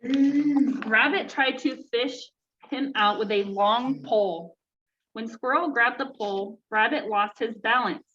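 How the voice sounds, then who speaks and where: A woman reading aloud to children in an expressive, engaging way. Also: fairly high pitch, takes it slowly. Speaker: storytime reader for children. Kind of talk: read-aloud children's story, then casual chat with children. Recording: dull lo-fi sound, clear background noise.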